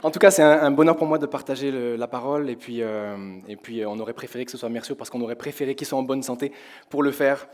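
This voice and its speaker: A young man from France preaching to his congregation in a church hall, his voice hoarse, talking in a conversational way and asking the congregation questions. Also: medium pitch, fast talker, a little mellow. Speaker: pastor. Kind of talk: sermon. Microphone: headset with in-ear monitor.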